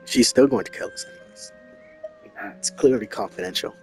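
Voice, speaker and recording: A man's voice: medium pitch, big pitch swings, moderate pace, creaky, poor audio. A man giving casual, offhand playthrough commentary as a streamer, joking in short asides. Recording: loud game music behind.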